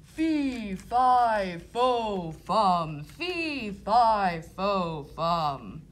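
A huge, mean storybook giant, played by a woman, hungry and menacing. The words come out very slowly and loudly, with big swings of pitch. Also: very animated. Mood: angry. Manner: slow, booming, drawn-out menacing delivery. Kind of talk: children's fairy tale storytelling.

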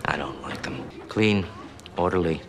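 Low-voiced, disdainful school principal. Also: male voice, measured pace. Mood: stern contempt. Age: middle-aged. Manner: clipped, contemptuous. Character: stern, disdainful middle-aged male school principal. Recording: noisy TV episode audio.

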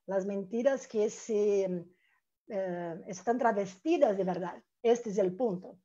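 A middle-aged woman with a Brazilian accent, a native Portuguese speaker, presenting academically and haltingly on a dull-sounding video call. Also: medium pitch, dark tone. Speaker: academic panelist, researcher. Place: room with bookshelves indoors. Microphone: earphone mic on video call.

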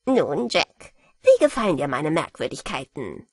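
A woman's voice: low-pitched, very animated, a little slow, breathy edge, dry recording, clean studio sound, voice pushed down, exaggerated voice. A woman voicing a genial old male merman who talks in a coaxing, matter-of-fact way, reading a storybook. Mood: jovial. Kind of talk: storybook character voice.

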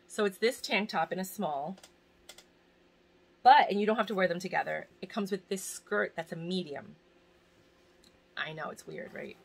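A bright, somewhat low-pitched woman in her forties talks to a desk camera in a small, cluttered home room. She rambles and restarts, gushing over each item, and she is in pain. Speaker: fashion vlogger hosting a giveaway. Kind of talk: casual chat to camera. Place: small home room crowded with bags and boxes, indoors. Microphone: camera at desk distance.

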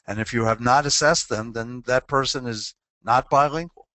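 A man's voice: mid-range voice, normal speed, fairly bright tone, no echo. A man presenting a webinar on legal compliance, answering a question earnestly and posing rhetorical questions.

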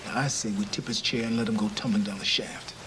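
A man's voice: fairly low pitch, fast, natural voice. Male actor as a grim, clipped undercover cop; heavy noise on the track. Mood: curt. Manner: terse, flat, clipped. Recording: loud background noise.

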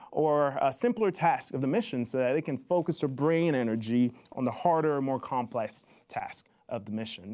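A man in his thirties with a mid-pitched voice gives an explanatory talk to young students over a video call. He breaks ideas into simple steps, often asking a question and then answering it himself. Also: a little mellow. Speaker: Air Force flight test presenter. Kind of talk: explanatory live online talk for schoolchildren. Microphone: video call microphone.

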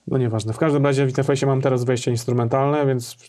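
A man's voice: mid-range voice, fairly even intonation, fast, mellow. A man in his thirties co-hosting a gear show, chatting casually in a small studio. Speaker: co-host of a guitar gear review show. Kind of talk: casual chat. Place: small indoor home studio.

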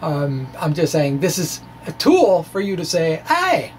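A middle-aged man gives an informal explanatory physics talk in a chatty, halting way, with frequent asides. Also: on the high side, huge pitch swings. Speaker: science blogger presenting a physics lecture. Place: small indoor room with bookshelves. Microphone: handheld-style microphone on a stand in front of him. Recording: clear background noise, room ambience.

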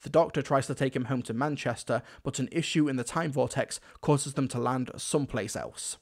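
A man's voice: mid-range voice, moderate pace. Conversational young male reviewer. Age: young adult. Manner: opinionated, conversational. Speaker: video reviewer. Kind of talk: scripted review commentary.

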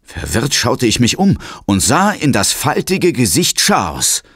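A man's voice: medium pitch, wildly swooping pitch, measured pace, background noise. An actor playing a resolute ghost hunter, recounting his adventure soberly and dramatically in a horror audio drama. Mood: tense. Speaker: first-person narrator.

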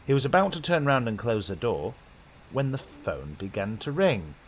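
A man's voice: mid-range voice, very animated, moderate pace, breathy edge, low-quality recording. A young British man hosting and narrating a horror tale with dramatic, suspenseful, old-radio theatrical delivery. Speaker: horror story host and narrator.

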